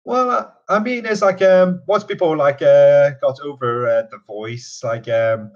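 A man's voice: fairly high pitch, a little slow. Young man with a mixed Canadian-British accent. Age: 20s. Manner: hesitant, many fillers. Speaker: interviewee. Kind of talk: casual interview. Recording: dull lo-fi sound.

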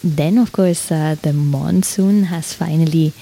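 Low, mellow woman musing. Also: unhurried, creaky, slight background noise. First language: Swiss German. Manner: thoughtful, searching, many filler "like"s. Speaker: podcast host. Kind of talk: reflective personal monologue.

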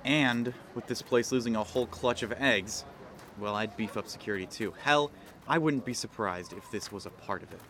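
A man playing a brooding kobold detective in a noir audio drama, wry and terse, with dramatic pauses. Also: fairly low pitch, fairly bright tone, slight background noise. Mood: wry, suspicious. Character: brooding male kobold detective, hard-boiled noir sleuth.

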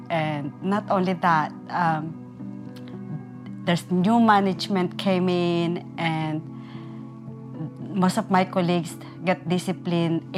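Woman telling her story slowly, lowish voice, music playing under her. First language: Tagalog. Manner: halting, soft-spoken, earnest. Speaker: care worker sharing a testimony. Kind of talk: recorded personal testimony. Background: soft music.

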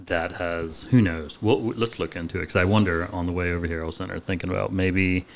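Very deep monotone man, podcast co-host. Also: vocal fry. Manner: monotone, measured and hesitant. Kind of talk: casual podcast chat.

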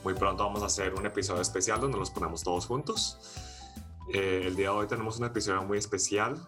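A man hosting an interview podcast, opening the episode and asking the guest questions in a steady, welcoming way. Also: on the low side, fast talker, faint music behind. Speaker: host.

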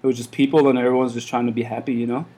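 A man's voice: mid-range voice, level tone, rapid-fire, mellow, husky. A man in his thirties, born in Iran, who runs a café, chatting casually in an interview and rambling with lots of fillers and restarts. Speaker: café owner.

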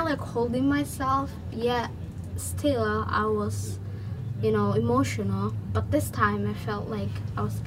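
A teenage young woman who grew up in Kenya, casually reflecting on her own experience as a student guest. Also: medium pitch. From Kenya, born in Somalia. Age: teens. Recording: distant mic, clear background noise.

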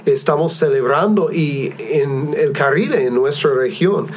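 A man hosting a discussion among missionaries, calmly explaining ideas and asking his guests questions. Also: fairly high pitch, a little slow, slight background noise. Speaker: podcast host, missionary. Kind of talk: hosted discussion.

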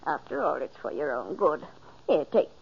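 A woman's voice: sits low, big pitch swings, normal speed, vocal fry, husky, full character voice. An actress playing a fussy, devoted housekeeper who scolds and mothers her employer in an old radio drama. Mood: motherly. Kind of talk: 1940s radio acting. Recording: dull old radio recording.

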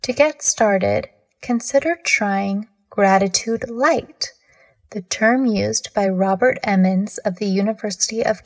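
A woman leading a scripted guided meditation in a measured, soothing manner with deliberate pauses between phrases. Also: medium pitch, gravelly.

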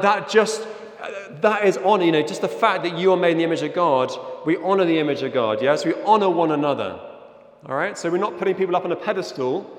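In a reverberant, echoey hall, a middle-aged man preaches in a fairly high voice. He is from Zimbabwe and speaks as an earnest, conversational church leader, regularly checking that his listeners follow. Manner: earnest, conversational, often seeking agreement with "yeah?". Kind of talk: informal sermon with slides.

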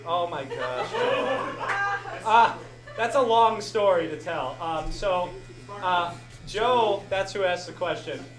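A man's voice: sits high, slow pace, music in the background. Young male podcast host, rambling and self-deprecating, live Q&A feel, echoey room. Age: young adult. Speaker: podcast host answering audience questions. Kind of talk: casual live Q&A.

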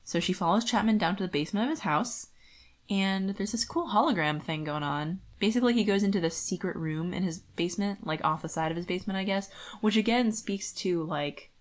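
A woman's voice: medium pitch, fairly quick. A woman co-hosting a podcast, talking through a book in a casual, rambling way with lots of informal filler phrasing. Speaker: podcast co-host. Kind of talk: casual book discussion chat.

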